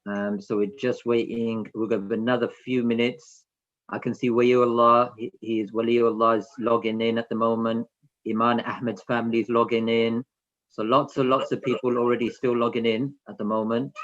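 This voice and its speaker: A man's voice: medium pitch, little pitch movement, normal speed, fairly bright tone. Man hosting online, slightly dull audio. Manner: addresses children directly with instructions and questions. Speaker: host of an online children's session. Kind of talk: informal welcome to online event attendees. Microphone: video call.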